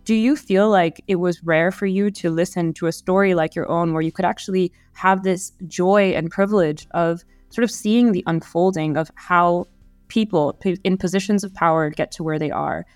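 A woman hosts an interview podcast, asking long and thoughtful questions. Her voice sits on the lower side and sounds smooth and clear. Faint music plays under the dry recording. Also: steady tone, moderate pace, darkish tone, dry recording. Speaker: podcast host.

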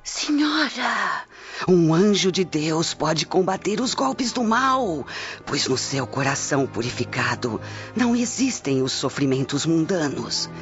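Swooping, ominous old Roman sorceress. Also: female voice, sits low, sing-song, measured pace. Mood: solemn, ominous. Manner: formal, oracular, portentous. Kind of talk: radio drama acting. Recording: dull lo-fi radio drama recording with music. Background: music.

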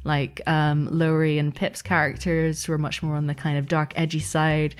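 A woman's voice: low voice, level tone, moderate pace. A woman giving casual, reflective interview answers as a podcast guest. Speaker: podcast guest, audio fiction producer and game master.

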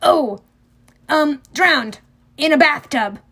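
A woman's voice: high-pitched, animated, takes it slowly, a bit bright, pitched above the performer's own voice, full character voice. A woman voicing a nervous, hesitant teenage boy sidekick who is eager to please, as in an audiobook. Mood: nervous, improvising. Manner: hesitant, anxious.

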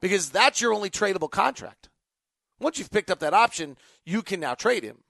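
A man's voice: high voice, expressive, a little fast, gravelly, a bit bright, dry recording, clean studio sound. A man hosting an unscripted sports talk show, thinking aloud in choppy, emphatic phrases. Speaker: sports podcast host. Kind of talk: unscripted basketball talk, answering fan questions.

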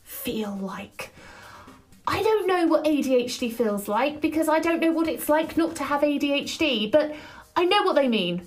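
A chatty woman podcast host with a loud, fairly high voice. She talks on her own in a quick, energetic flow, scattering ums as she goes. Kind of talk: solo podcast monologue.

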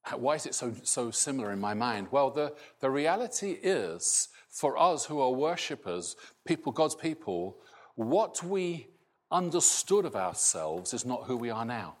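Slow-speaking pastor with softened Scottish accent. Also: male voice, mid-range voice, sing-song. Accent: Scottish, largely softened. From Scotland. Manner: slow, halting, with many restarts and fillers. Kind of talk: sermon.

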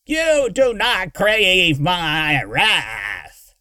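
A man voicing a crazed villain mage in a fantasy audiobook, shrill and whining, with grandiose archaic pronouncements. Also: expressive, extremely slow, sharp tone, smooth, dry recording, clean studio sound, full character voice. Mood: frenzied, screeching.

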